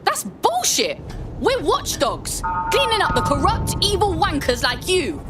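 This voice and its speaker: An actress playing a brash, defiant young hacker vigilante in a video game, with a British accent and blunt, slangy speech. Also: female voice, very high-pitched, huge pitch swings, unhurried, full character voice. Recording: music under the voice.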